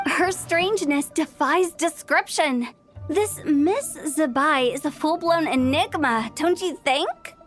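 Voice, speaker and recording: A playful young woman in a video game. The voice is very high, expressive and teasing, with a quirky theatrical flair. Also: takes it slowly, soft background music. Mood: curious, probing.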